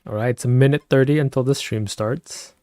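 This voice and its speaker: A man chatting casually with viewers on a live stream, speaking softly and keeping his voice down at night at home, and laughing often. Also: a little fast, no echo, clean studio sound. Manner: soft-spoken, keeps voice low at night.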